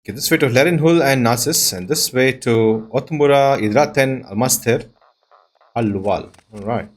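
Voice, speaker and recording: A man giving casual let's-play commentary in a husky voice, musing aloud and reading names off the screen. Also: mid-range voice, moderate pace. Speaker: video game player and host. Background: plucked-string game music.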